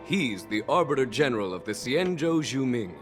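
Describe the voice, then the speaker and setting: An actor voicing a calm, composed male general who mentors a young apprentice. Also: mid-range voice, over music, natural voice. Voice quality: calm, measured. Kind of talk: video game voice acting.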